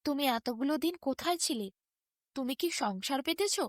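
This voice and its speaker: A woman's voice: high-pitched, expressive, moderate pace, no echo, clean studio sound. A woman voicing a devout, emotional young mother pleading with her long-lost husband in a fairy tale. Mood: questioning.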